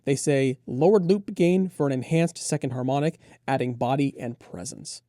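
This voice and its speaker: A man with a relaxed, mellow voice at a middling pitch talks through product specs as a reviewer and co-host. The sound is close and dry, and his delivery is conversational, detailed and keen. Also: normal speed, no room sound. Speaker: audio gear reviewer and co-host.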